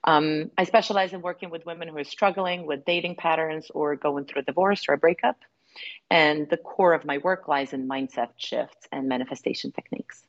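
A woman from Serbia in her forties speaks in a low register and reflects on her path, pausing often with fillers and restarting her sentences. The recording is dry and a little muffled. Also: dry recording. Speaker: women's relationship coach. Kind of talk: conversational interview.

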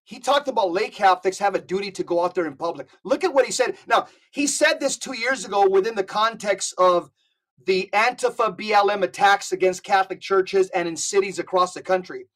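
A man's voice: high-pitched, brisk, a bit bright. Emphatic, preacherly man. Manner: emphatic, preacherly, repeats words. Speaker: podcast guest. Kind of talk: animated storytelling and exhortation.

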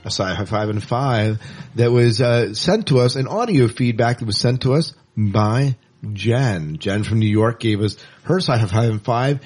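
A man's voice: medium pitch, a little slow, a little mellow, breathy edge. A man hosting a casual interview in an informal, upbeat way. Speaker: podcast host.

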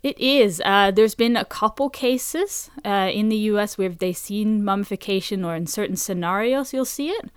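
A woman from Norway, a researcher giving measured, factual explanations as an interview guest. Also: medium pitch, smooth, no room sound, clean studio sound.

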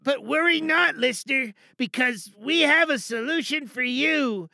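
A woman's voice: high-pitched, lively intonation, a little slow, gravelly, sharp tone, no room sound, clean studio sound, heavily acted. A woman playing a small, cheerful, meek helper in an audio drama monologue, hesitant and apologetic, eager to please as they read out an advert. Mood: dutiful presenting. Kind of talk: monologue acting with stilted advert reading.

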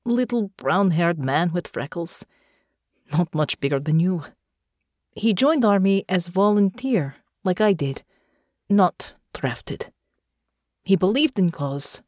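A woman narrator playing a haunted foreign war veteran, a woman who speaks in halting, clipped phrases with tenderness underneath. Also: lowish voice, animated, a little mellow, no echo, studio quality. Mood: wistful. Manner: weary, halting, clipped phrasing with dropped articles. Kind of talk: audiobook character acting.

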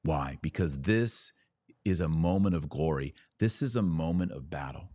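A man's voice: a bass voice, expressive, normal speed, warm tone, no room sound, clean studio sound. A man hosting a podcast, delivering a fervent religious monologue in an emphatic, exhorting manner with rhetorical questions and repetition. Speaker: podcast host.

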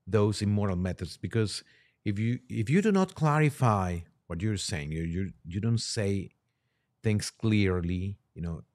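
Low-voiced man, halting conversational delivery. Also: lively intonation, darkish tone. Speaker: priest, podcast guest. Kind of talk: conversational religious discussion.

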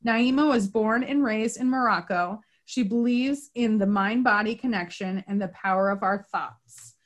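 A woman's voice: mid-range voice, normal speed. A young adult woman hosting a podcast interview, upbeat and laughing often in casual chat. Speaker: podcast host.

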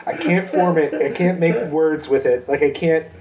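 A man's voice: fairly high pitch, steady tone, normal speed, crowd noise. A man co-hosting a podcast in casual banter, rambling and self-deprecating, with lots of uh and like. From United States. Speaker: podcast co-host.

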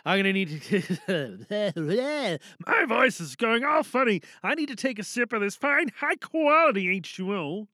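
Lively, bright-voiced audio drama presenter. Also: medium pitch, unhurried, few pauses, dry recording, clean studio sound. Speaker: monologue performer and presenter. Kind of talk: casual, jokey chat around an audio drama recording.

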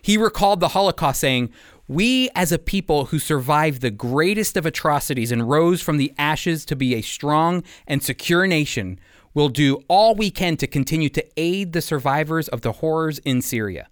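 Expressive, higher-pitched American man teaching. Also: moderate pace, dry recording, clean studio sound. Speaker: radio host and Bible teacher. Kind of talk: scripted Bible teaching and news commentary.

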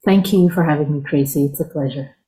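A woman's voice: sits low, brisk, warm tone, rough recording. A woman from Cameroon, speaking thoughtfully as an interview guest over an online call. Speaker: guest, writer and researcher. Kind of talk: conversational interview. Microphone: video call.

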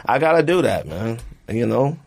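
A man hosting a podcast in casual, slangy chat, talking in an animated way and repeating himself for emphasis. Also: medium pitch, normal speed, gravelly. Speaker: podcast host. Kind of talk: casual chat, friendly debate.

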